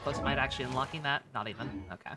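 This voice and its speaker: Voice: mid-range voice, rapid-fire, heavy background noise. A game streamer giving casual, rambling, jokey livestream commentary and laughing easily. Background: video game music.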